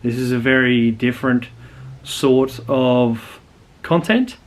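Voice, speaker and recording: A man's voice: mid-range voice, slow pace. Earnest Australian man, rambling intro. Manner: rambling and earnest, many fillers and restarts. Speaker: channel host. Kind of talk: casual talking-to-camera channel intro.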